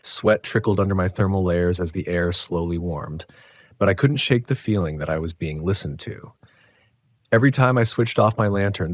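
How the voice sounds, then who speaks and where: A man reading a scripted first-person horror story in a measured, dramatic way. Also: low voice, dry recording. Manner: measured, dramatic, literary delivery.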